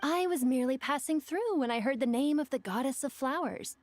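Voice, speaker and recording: Fantasy game: actress as aloof, regal female spirit, very high register, formal, faint music beneath. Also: brisk, no room sound, lightly acted. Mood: haughty. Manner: formal, imperious. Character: proud, aloof, ancient female djinn spirit. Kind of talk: fantasy video game voice acting.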